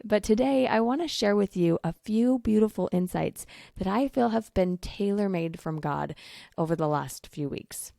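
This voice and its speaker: A woman's voice: mid-range voice, lively intonation, normal speed, gravelly, dry recording, clean studio sound. A woman hosting a faith-based coaching podcast, her voice still recovering from lost voice, speaking earnestly and encouragingly. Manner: earnest, warm encouragement with soft laughs. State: voice recovering from laryngitis. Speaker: podcast host, life coach.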